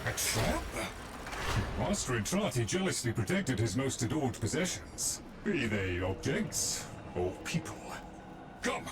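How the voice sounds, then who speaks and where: An actor playing an arch, theatrical familiar who serves an absent master in a video game, speaking in formal, scripted lines. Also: male voice, medium pitch, sing-song, a little slow, background noise. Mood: ominous, formal. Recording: loud music behind the voice.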